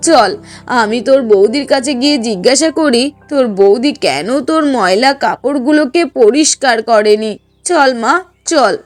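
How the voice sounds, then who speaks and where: A woman's voice: a little high, animated, normal speed, soft background music, close to an everyday voice. A woman voicing a fair-minded, fretful middle-aged mother-in-law in a cartoon, earnest and admonishing. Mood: placating. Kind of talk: Bengali cartoon voice acting.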